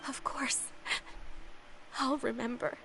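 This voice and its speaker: A woman playing a fearful, devoted young follower in a video game, with a halting, emotional delivery. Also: high-pitched, fairly even intonation, bright, forceful tone, faint music behind, exaggerated voice, low-quality recording. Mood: subdued obedience. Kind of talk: dramatic video game voice acting.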